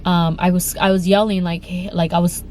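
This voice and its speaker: A woman's voice: fairly low pitch, level tone, moderate pace, darkish tone, slight background noise. Congested young woman telling a frightening story. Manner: rambling with many fillers and restarts. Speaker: vlogger. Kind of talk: emotional storytime vlog.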